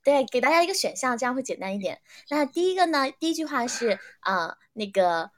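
A woman hosting a casual, lively online game segment, chatting informally with guests and listeners. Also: high voice, brisk, a little hiss or hum. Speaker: host of audio drama fan event livestream.

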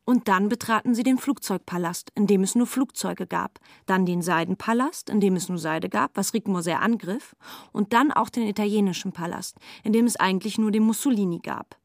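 A woman's voice: mid-range voice, brisk, vocal fry, no echo, clean studio sound. A woman narrating a literary radio drama.

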